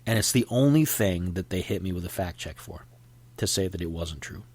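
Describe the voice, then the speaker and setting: A man's voice: deep voice, expressive, brisk, darkish tone, breathy edge. A middle-aged man hosting a solo podcast, sniffly from a cold, rambling and opinionated with frequent restarts. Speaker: podcast host.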